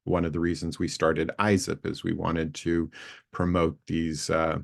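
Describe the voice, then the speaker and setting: A man in his late sixties with a very low, gravelly voice, speaking over a video call. He rambles in a friendly, unhurried way, stopping to restart his sentences and filling gaps with "um" and "uh". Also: completely flat delivery. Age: 60s. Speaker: co-founder introducing an online community session. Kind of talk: casual spoken introduction on a video call.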